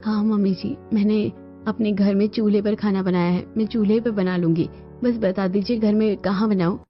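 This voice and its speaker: A woman's voice: medium pitch, fairly even intonation, moderate pace, lightly acted. A woman voicing a meek, obliging young daughter-in-law in a cartoon family story. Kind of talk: Hindi cartoon story voice acting. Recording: dull lo-fi audio over music.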